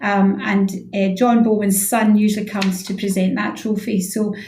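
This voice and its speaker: A woman's voice: mid-range voice, flat delivery, normal speed, darkish tone, a little hiss or hum. A woman chatting informally as an expert guest in a radio interview, explaining things and readily agreeing. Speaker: head of physiotherapy, interview guest. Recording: echoey room sound.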